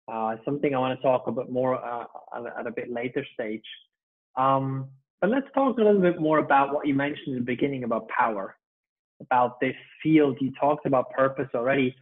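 A man's voice: medium pitch, slightly rough. A man hosting an interview show over a video call, enthusiastic, with long rambling questions full of fillers. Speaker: podcast host. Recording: thin phone-like video call audio.

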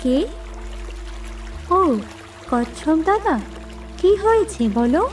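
Woman as little cartoon critter, high voice, earnest, childlike, clever, slightly distant, music behind. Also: lively intonation, takes it slowly, exaggerated voice. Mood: hesitant. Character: tiny clever water creature, eager, careful little helper. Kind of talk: animated fairy-tale cartoon voice acting.

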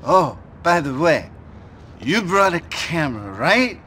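A man's voice: fairly high pitch, sing-song, takes it slowly, bright, forceful tone, slight background noise, full character voice. A man playing a shifty, greedy, blustering gambler in a Santa suit for a video game cutscene. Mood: scheming. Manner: blustering, stammering when evasive.

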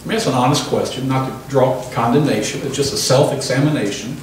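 A man's voice: medium pitch, fast talker. An older man delivers an emphatic sermon through a handheld mic, often calling for an amen. The small hall echoes heavily, and the voice is picked up from a distance with faint background hiss. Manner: emphatic preaching with call-and-response amens. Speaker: pastor. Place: small church hall, indoors. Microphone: handheld microphone. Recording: echoey room from a distant camera.

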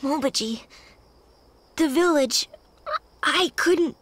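A young trainee ninja boy in a video game. The voice is high and frail. The words come very slowly and falter. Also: a bit bright. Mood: weak, distressed. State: weak, faltering. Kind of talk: video game drama acting.

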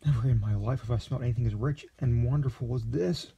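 A man reading aloud and voicing a naive, excitable young man who gushes over his food in breathless exclamations, pitched well above his own voice. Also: quick pace, vocal fry, breathy edge, highs cut off. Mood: rapturous.

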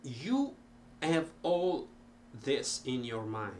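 Middle-aged man with an Italian accent, unhurried, halting delivery, home webcam sound with a faint hum behind. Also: medium pitch, big pitch swings. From Italy. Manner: slow, rambling, many ums and restarts. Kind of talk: casual talk to camera. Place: cluttered home music room.

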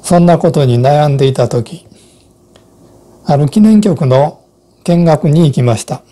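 A man in his sixties giving measured, explanatory narration from a script as an instructor. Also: fairly high pitch, mellow.

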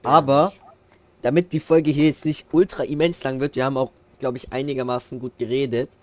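A man's voice: mid-range voice, brisk, dry recording. A man hosting a farming game let's play, chatting casually and slangily with friends. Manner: relaxed, slangy, signs off the episode. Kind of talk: casual gaming chat with friends.

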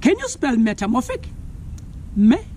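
A man in his thirties with a South African accent, giving a slow, clear science lesson for children outdoors, asking viewers to spell words. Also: very high-pitched, wildly swooping pitch, dark tone, a little hiss or hum. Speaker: environmental educator. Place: outdoors on a lawn.

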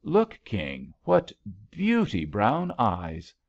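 A man's voice: on the low side, wildly swooping pitch, extremely slow, vocal fry, exaggerated voice. A man voicing a spirited, stubborn young woman in an audiobook love story, eager and direct. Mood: tender, eager. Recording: slightly dull, lo-fi audiobook recording.

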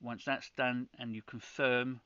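A man with a bright, crisp voice walks viewers through a technical setup one step at a time. He talks in a practical, matter-of-fact way and often restarts his sentences. The recording is dry and a little muffled. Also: mid-range voice, little pitch movement, unhurried, no echo. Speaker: ham radio channel host. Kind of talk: screen-recorded tutorial.

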